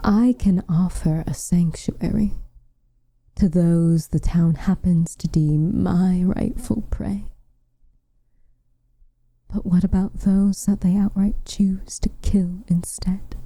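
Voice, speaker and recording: A woman playing a proud, protective witch in an ASMR roleplay, speaking softly and formally, often dropping into a breathy whisper. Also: on the low side, steady tone, no echo. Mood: worried, frustrated. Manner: formal, measured, dramatic delivery. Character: protective, proud, frustrated witch, tender toward her lover.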